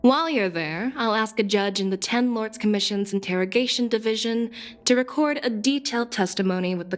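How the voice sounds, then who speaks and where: A woman's voice: mid-range voice, natural voice. A woman voicing a confident, candid female general in a video game, assured, formal and articulate. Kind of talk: scripted video game dialogue. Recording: game music behind the voice.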